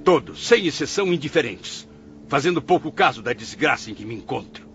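A man's voice: mid-range voice, expressive, brisk, a bit bright, soft background music. A man playing the anguished, confused spirit of a writer after suicide, in a theatrical, pleading radio drama style. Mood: tormented. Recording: muffled, noisy radio drama recording.

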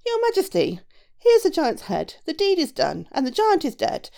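Bold little trickster shoemaker, male woman reading; boastful and emphatic, lively highish voice for a storybook. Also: moderate pace, creaky, exaggerated voice.

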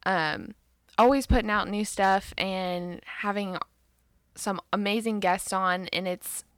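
A woman's voice: mid-range voice, slow, no echo. A woman hosting a podcast, opening the show in an upbeat way with lots of fillers. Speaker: podcast host. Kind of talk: casual podcast intro.

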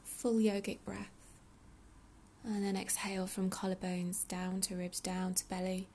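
A woman leading a guided meditation in a soothing, unhurried, breathy soft delivery with pauses. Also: medium pitch, monotone, slight background noise. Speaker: meditation guide, yoga teacher.

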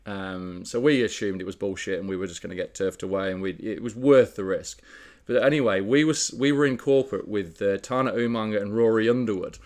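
A man co-hosting a casual podcast, telling a story in a relaxed, chatty way with frequent fillers. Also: fairly low pitch, fairly quick. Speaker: podcast co-host. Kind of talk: casual chat.

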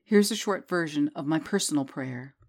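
A woman leading a guided prayer meditation, reciting scripture in a slow, soothing way. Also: sits low, a little mellow. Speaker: prayer leader.

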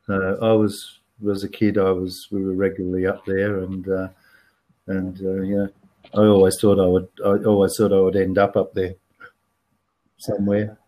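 A man's voice: low-pitched, completely flat delivery, normal speed, dark tone, poor audio. A man in his sixties with an Australian accent, chatting frankly as an interview guest and often repeating words as he thinks. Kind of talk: casual interview chat.

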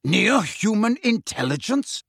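A man voicing a proud, menacing centaur leader with a rough, slow and haughty way of speaking in a storybook reading. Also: a little high, fairly bright tone, no room sound, clean studio sound, pitched above the performer's own voice. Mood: offended.

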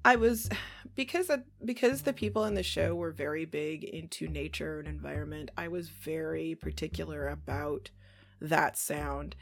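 A middle-aged woman chatting casually as an interview guest, talkative and quick to laugh. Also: sits low, steady tone, gravelly, slight background noise. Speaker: interview guest, audio drama creator and director.

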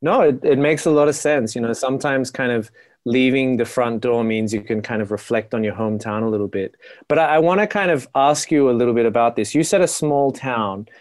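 A man's voice: mid-range voice, fairly quick, a little mellow, no room sound, studio quality. A man hosting a casual interview podcast, joking and chatty, with lots of "kind of" fillers. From Australia. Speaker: podcast host.

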